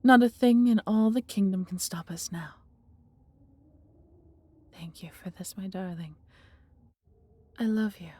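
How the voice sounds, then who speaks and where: A woman voicing an affectionate, fiercely protective female dragon in a fantasy audio roleplay, with soft husky breathiness and theatrical, intimate, formal storybook phrasing. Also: mid-range voice, a little slow, long pauses, natural voice. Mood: tender, intimate.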